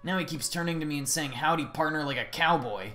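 A woman's voice: deep voice, fast, a bit bright, faint music behind. A woman voicing a laid-back, complaining teenage monster classmate in a video game. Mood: mildly annoyed.

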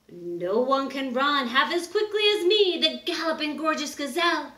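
A woman voicing a vain, pretty gazelle from a children's storybook, boasting in expressive rhyme. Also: sits high, unhurried, exaggerated voice. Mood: proud, boastful. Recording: roomy, echoing indoor recording.

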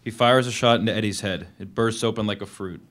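A young man reads on stage into a microphone. His voice sits fairly low as he delivers first-person narration and dialogue from a novel in a dramatic reading style. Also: fairly even intonation, quick pace, a bit bright. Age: young adult. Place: on stage at a live reading event. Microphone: microphone on a stand.

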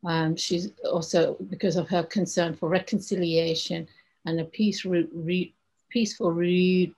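A middle-aged woman moderating an online conference, speaking appreciatively and haltingly in informal introductions over a video call. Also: on the low side, vocal fry, a little mellow, husky, poor audio. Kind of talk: hesitant informal introductions and thanks. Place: indoors on a video call, virtual backdrop. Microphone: video call microphone.

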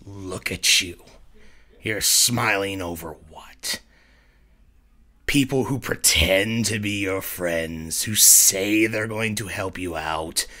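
A man voicing a cruel mirror reflection that sneers and taunts, swinging from hiss to shout in a dramatic reading. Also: deep voice, slow pace, exaggerated voice. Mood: menacing contempt. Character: cruel mocking male mirror reflection tormenting its owner.

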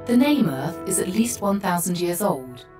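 A woman voicing a calm spaceship computer tour guide, giving measured, factual educational narration. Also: on the low side. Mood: calm, informative. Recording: slightly distant voice under music. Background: music.

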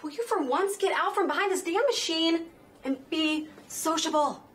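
A woman's voice: very high-pitched, moderate pace, slight background noise. An actress playing a sociable wife who pleads with her withdrawn husband to mingle. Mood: coaxing. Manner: pleading, coaxing.

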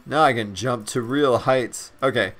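A man hosting a let's play, commenting on gameplay in an excitable, enthusiastic way, his voice raspy and a bit stuffed up. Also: mid-range voice, a little slow, crowd noise.

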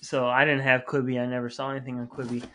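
A man's voice: medium pitch, level tone, fast talker, a crowd in the background. A man hosting a casual podcast, chatting and addressing the listeners. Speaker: podcast host. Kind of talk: casual podcast banter and sign-off.

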